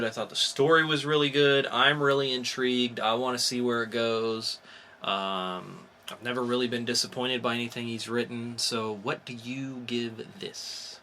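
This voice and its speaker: Male podcast co-host, bright mid-pitched voice, casual and enthusiastic review talk, slight noise behind. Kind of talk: casual comic book review chat. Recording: faint background noise.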